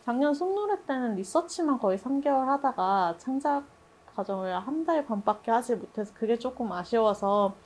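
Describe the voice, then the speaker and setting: A woman's voice: a little high, lively intonation, normal speed, darkish tone. A woman speaking as a production director in a reflective group discussion, thoughtful and hesitant, often laughing a little as she talks.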